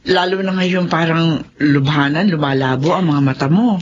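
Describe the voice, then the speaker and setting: An actress playing a caring, hopeful friend who scolds emphatically in a radio drama. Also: female voice, low voice, moderate pace, natural voice. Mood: chiding, concerned. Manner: chiding, emphatic. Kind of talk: Tagalog radio drama acting. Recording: dull lo-fi audio, faint noise.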